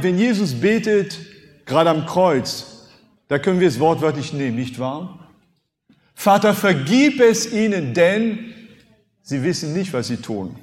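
A man in his fifties, a native French speaker, preaching a sermon with animated rhetorical questions and emphatic swings in loudness. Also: high voice. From France. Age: 50s. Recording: long hall reverb.

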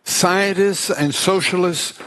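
A male preacher speaks in slow, measured phrases, his voice sitting a little high for a man. He pauses after each short line for an interpreter. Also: steady tone, fairly bright tone. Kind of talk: sermon with live interpreter.

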